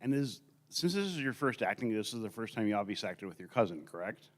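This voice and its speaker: A man's voice: fairly low pitch, fast talker. A man interviewing a guest in a casual, friendly way, with small jokes between his questions. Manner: friendly, joking, lively intonation.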